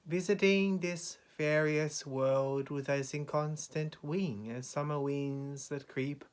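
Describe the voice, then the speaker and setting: A man with a somewhat high voice recites verse at an extremely slow pace. His delivery is solemn and dramatic, each phrase set apart by a deliberate pause. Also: steady tone, clean tone. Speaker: poetry reader.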